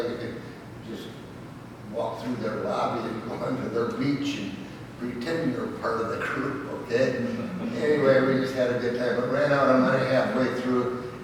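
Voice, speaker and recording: An older man tells stories to a club audience in a folksy, chuckling way, dropping in fillers and little "okay?" checks. His medium-pitched voice is heard from a distance in a very echoey hall with a long reverb tail. Steady background noise sits under it all. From Michigan, raised in Southern California. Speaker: guest speaker at a club meeting. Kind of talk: informal anecdotal talk. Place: large echoey meeting hall.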